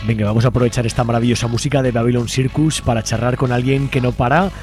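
Quick-talking Spanish male radio host. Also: mid-range voice, fairly even intonation, a little mellow, soft background music. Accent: Spanish (Spain). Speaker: radio host interviewing a guest. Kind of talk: conversational radio interview.

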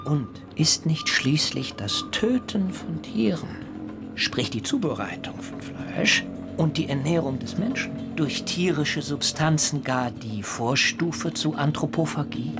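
Fervent, eloquent vegetarian zealot, swooping delivery. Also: male voice, on the high side, lively intonation, a little slow, over loud music. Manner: eloquent, ornate, rhetorical. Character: earnest, zealous young German vegetarian and coconut prophet. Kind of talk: radio play acting.

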